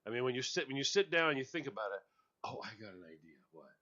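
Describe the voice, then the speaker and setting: A man hosting a radio show, swapping jokes and stories with a co-host and laughing easily. His voice is bright and forward, with a lively, swooping pitch. The audio is dull and lo-fi, like a web radio stream. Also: racing. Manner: joking, laughs often, storytelling. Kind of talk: casual on-air banter.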